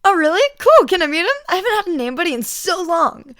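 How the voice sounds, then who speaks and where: An exuberant male radio-host hero, acted out by a woman for an audio story. The voice is pushed high and loud, playful and full of exclamations, with words stretched for show. The sound is dry and close. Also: sing-song, fast talker, dry recording, heavily acted. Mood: eager.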